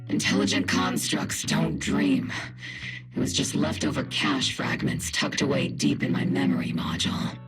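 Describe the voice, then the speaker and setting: A woman voicing a weary intelligent construct soldier in a video game, with a gravelly, deliberate, dry delivery. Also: a bass voice, big pitch swings, soft background music, exaggerated voice. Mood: dry, deadpan.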